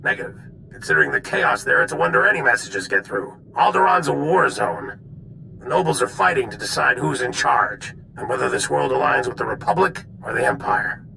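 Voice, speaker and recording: A stern army general in a game, super croaky, sharp edge, bit of noise behind. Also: male voice, medium pitch, expressive, brisk, exaggerated voice, rough recording. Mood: grim, stern. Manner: clipped, military, stern. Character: hard-bitten male Republic general, grim and resolute. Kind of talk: video game voice acting.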